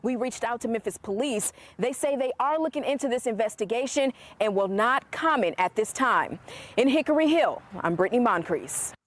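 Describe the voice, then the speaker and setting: A woman's voice: on the high side, expressive, moderate pace. An American woman reading a scripted television news report.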